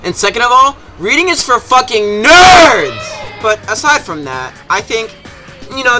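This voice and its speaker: A man giving a casual, jokey, scripted video game review as a YouTuber, with some swearing. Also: a little high.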